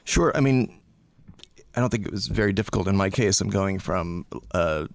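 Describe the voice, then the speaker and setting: A man's voice: deep voice, normal speed, vocal fry, dry recording. A middle-aged man from Arizona, a podcast guest answering questions in a casual, hesitant way with many restarts. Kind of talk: casual interview conversation.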